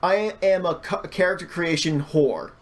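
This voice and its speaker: A man chatting casually as a podcast guest, telling stories in an animated way with stammered false starts and repeated words. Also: high voice, unhurried. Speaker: podcast guest, hobby artist and fandubber. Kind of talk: casual chat, storytelling.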